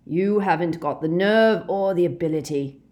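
A woman voicing a sneering, commanding male wizard villain, shouting contemptuous taunts in a dramatic audiobook style. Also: low-pitched, normal speed, gravelly.

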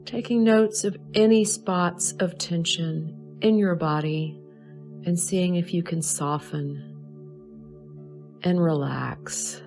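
A woman leading a guided meditation in a soothing, measured way, pausing between short phrases. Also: fairly low pitch, darkish tone, soft background music. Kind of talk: slow guided meditation narration.

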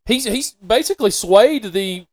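A man co-hosting a podcast in casual banter, talking in an animated, halting way and often repeating himself. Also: high voice, no room sound, studio quality. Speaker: podcast co-host.

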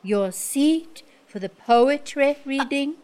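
A woman playing a pushy, eccentric woman poet who runs a feed store, insisting and then reciting in slow, dramatic verse. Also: high-pitched, animated, a little mellow, slight background noise, pitched above the performer's own voice. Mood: insistent.

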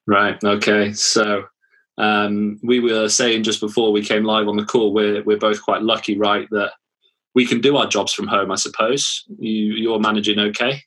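A man's voice: lowish voice, level tone, moderate pace, fairly bright tone, rough recording. A man hosting a podcast, upbeat and given to repeating his words as he interviews a guest. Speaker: podcast host.

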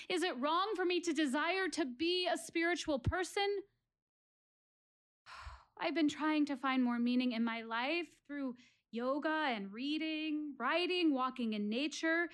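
Actress as searching, lonely woman in a solo stage play. High voice, confiding, conversational, earnest. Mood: lonely, troubled. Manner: conversational, confiding, self-deprecating. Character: earnest, searching woman praying aloud, lonely, self-questioning.

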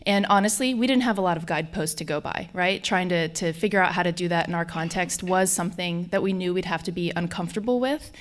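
A woman's voice: lowish voice, fast talker, few pauses. A woman from Colorado, a guest on a live podcast, talking things through thoughtfully and often checking in with "right?". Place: conference hall with a live audience.

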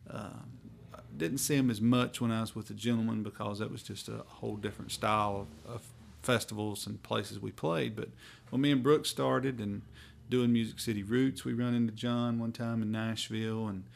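Gravelly North Carolina man, flat drawl. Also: lowish voice. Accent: Southern US, North Carolina. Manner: rambling, folksy, drawn-out phrasing. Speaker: bluegrass musician guest. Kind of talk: casual storytelling interview. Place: hotel room.

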